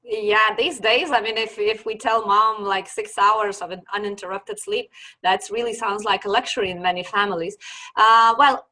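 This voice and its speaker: A woman's voice: mid-range voice, fairly even intonation, moderate pace, bright, forceful tone. A woman in her forties with a Lithuanian accent, hosting a casual interview as a sleep consultant, chatty and searching for words with fillers and repeats. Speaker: host and children's sleep consultant.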